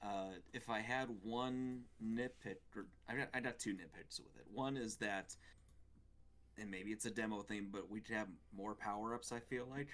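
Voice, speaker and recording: A man speaking as a co-host in a casual review chat, giving measured, hedged opinions. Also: lowish voice, fairly even intonation, a bit bright. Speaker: gaming show co-host.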